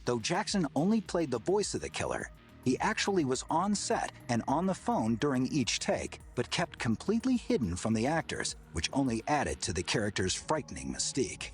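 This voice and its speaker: A man giving scripted documentary narration in a measured, storytelling way. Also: mid-range voice, wildly swooping pitch, soft background music.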